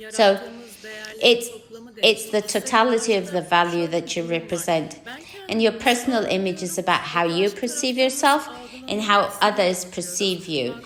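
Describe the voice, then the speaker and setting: Woman on a conference panel, mid pitch, chatty, says like and kind of a lot. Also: normal speed, a bit bright, roomy sound. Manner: loose conversational, full of "like" and "kind of". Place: conference stage.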